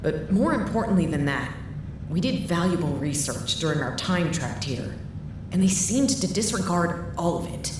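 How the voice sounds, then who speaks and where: A weary, frank woman leader played by an actress in an audio drama, with a very low voice and lively intonation. She is dry and blunt. The sound is heavily echoey, with clear noise behind her. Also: normal speed, natural voice. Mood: frank, bitter.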